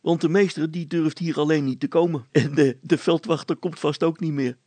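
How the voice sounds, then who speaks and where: A man's voice: fairly high pitch, fairly even intonation, normal speed, darkish tone, dry recording, voice pushed up, lightly acted. A man voicing a sly, cheerful village poacher, chatty, folksy and mischievous in storybook dialogue. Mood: confident.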